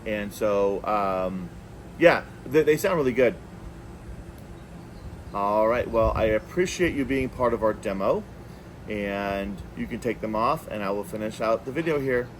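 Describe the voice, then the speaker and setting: A man's voice: on the low side, expressive, a little slow, slight background noise. A man hosting a casual, unscripted product review, talking conversationally and thinking aloud as he goes. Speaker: product reviewer, video host.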